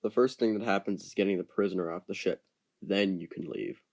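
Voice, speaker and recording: An actor playing a curt, deep ship captain. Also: fairly quick, creaky, a little mellow. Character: gruff male ship captain. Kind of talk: amateur audio drama acting.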